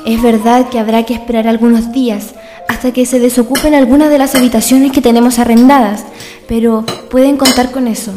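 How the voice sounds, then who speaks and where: A woman's voice: fairly high pitch, fairly even intonation, fairly quick, warm tone, faint music behind, close to an everyday voice. An actress playing a compassionate, generous landowner's wife in a radio drama, motherly.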